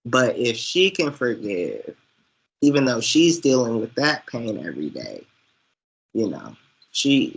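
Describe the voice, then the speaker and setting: A man chats about a film as a co-host, halting and conversational. His voice is husky and gravelly, and he takes his time, speaking at a very slow pace. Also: medium pitch, a bit bright, rough recording. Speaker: co-host of a film recap show. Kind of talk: casual film discussion.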